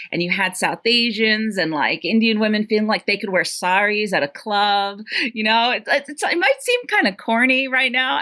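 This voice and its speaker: A woman in her fifties who grew up around New York, a podcast guest telling lively stories with laughs and plenty of "like" and "you know". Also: medium pitch. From Greater New York area. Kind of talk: casual storytelling chat.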